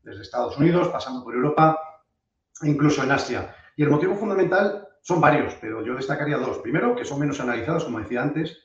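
Man, hoarse from a cold, halting finance talk, far from the mic in a very echoey room with a muffled sound. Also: fairly high pitch, lively intonation. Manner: hesitant, many fillers and restarts. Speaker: webinar speaker, fund manager. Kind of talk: presenting a finance webinar.

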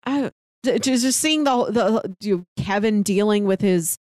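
A woman's voice: mid-range voice, measured pace, a little mellow, no room sound, studio quality. A woman co-hosting a casual TV review chat, thinking aloud and restarting her phrases as she goes. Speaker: podcast co-host.

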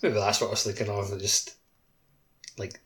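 A man's voice: fairly low pitch, flat delivery, normal speed, fairly bright tone. A man co-hosting a podcast, chatting casually in an offhand, informal way. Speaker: podcast co-host. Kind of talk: casual chat, game playalong podcast. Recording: faint background noise, clicks.

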